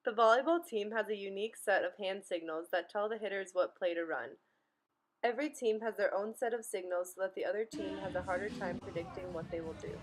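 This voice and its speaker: A woman's voice: medium pitch, poor audio. Young woman reading narration. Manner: measured, read-aloud delivery. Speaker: student narrator. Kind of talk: scripted narration.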